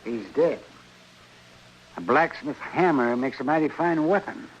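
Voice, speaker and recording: An actor playing a shrewd frontier town doctor in an old radio western, dry and folksy. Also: male voice, a little high, measured pace, lightly acted. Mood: dry, probing. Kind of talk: old-time radio western drama acting. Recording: muffled, noisy old radio recording.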